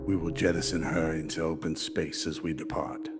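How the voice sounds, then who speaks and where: A man playing a stoic starship captain in a radio drama, speaking in a measured, formal, firm way. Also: a bass voice, little pitch movement. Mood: cool defiance. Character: stoic, hard-bargaining male starship captain. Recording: loud music behind the voice.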